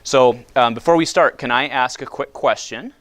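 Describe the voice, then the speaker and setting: Loud casual man talking to a class. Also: medium pitch, moderate pace. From United States. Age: 30s. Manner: loud, casual, asks the audience questions. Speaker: guest speaker. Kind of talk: talk to high school students. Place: high school classroom.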